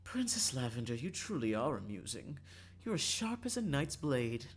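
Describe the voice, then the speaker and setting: A man's voice: medium pitch, big pitch swings, moderate pace, breathy edge, faint music behind. A man putting on a coy, flirtatious dandy. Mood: teasing.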